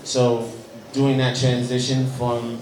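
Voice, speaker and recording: A young American man from Chicago with a slightly hoarse voice, earnestly telling his story at a press event, with frequent ums. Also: mid-range voice, completely flat delivery, takes it slowly, a little mellow, reverberant, slight background noise, low-quality recording. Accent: Chicago American English. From Chicago, United States. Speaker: guest musician.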